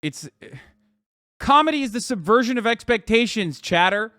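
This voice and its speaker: A man live streaming, reacting to tweets and lecturing his chat in a profane, animated way full of rhetorical "okay?" asides. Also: very high-pitched, moderate pace, loud music behind the voice.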